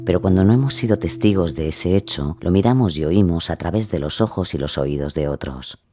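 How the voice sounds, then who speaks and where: A man giving solemn, measured dramatic narration from a script. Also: low voice, dark tone.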